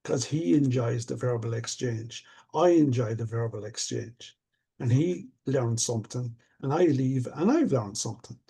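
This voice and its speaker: An older man speaks with a gravelly, husky voice. His tone is dark and mellow. He talks about things in an earnest, reflective, measured way, the way a coach does. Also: mid-range voice. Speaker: stuttering coach. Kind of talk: casual conversation.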